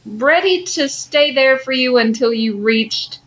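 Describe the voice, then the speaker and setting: A woman's voice: sits high, expressive, a little slow, fairly bright tone. A woman co-hosting a podcast in casual chat, on slightly lo-fi audio. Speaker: podcast co-host.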